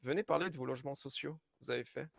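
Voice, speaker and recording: Gravelly man, halting and frank. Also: medium pitch, fairly bright tone. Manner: hesitant, many fillers and restarts; frank, self-assured. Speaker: mayoral candidate in a roleplay. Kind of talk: casual roleplay press conference.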